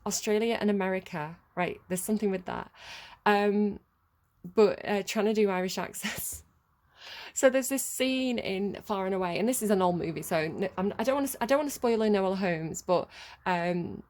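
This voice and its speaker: A woman's voice: mid-range voice, moderate pace, darkish tone. A British woman giving a casual tarot reading, chatty and rambling, laughing often. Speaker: tarot reader.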